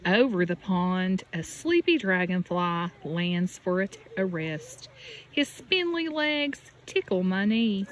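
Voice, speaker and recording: A woman teacher reading a picture book aloud to children, deliberate and expressive, with questions and asides to her listeners. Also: medium pitch, poor audio. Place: outdoors beside a natural pond. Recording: steady outdoor background noise. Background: birds and outdoor ambience.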